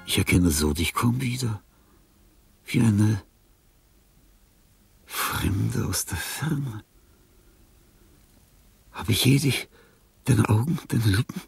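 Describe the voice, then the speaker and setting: An actor playing a dying, lonely old artist in a radio play, delirious and fearful, in a husky, breathy voice that speaks in halting fragments. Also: male voice, medium pitch, full character voice. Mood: dazed tender wonder. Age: older. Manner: halting fragments, whispers rising to frantic cries. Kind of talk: German radio play acting.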